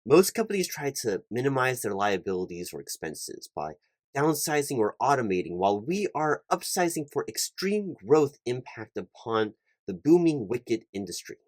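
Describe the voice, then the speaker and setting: A man playing an earnest, slightly flustered recruiting manager in a one-sided job interview roleplay, speaking in halting, stammering corporate talk. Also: medium pitch, sing-song, no room sound.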